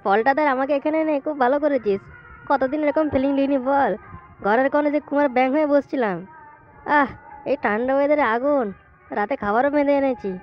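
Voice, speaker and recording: A woman voicing cheeky, excitable village men in a comedy cartoon, with slangy, teasing chatter. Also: sits high, brisk, natural voice. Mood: excited. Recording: dull lo-fi sound, music behind.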